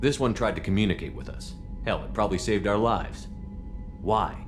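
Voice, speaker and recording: A man's voice: lowish voice, normal speed, music in the background, natural voice. A man playing a resolute, decisive spaceship commander in a video game cutscene. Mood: firm, deliberate. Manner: decisive, authoritative orders.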